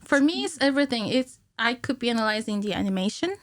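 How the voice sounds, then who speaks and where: A woman's voice: a little high, animated, fast. Woman chatting informally as podcast guest. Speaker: podcast guest, cinematic animator. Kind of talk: casual interview chat. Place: small podcast studio indoors. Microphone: studio microphone on stand, with headphones.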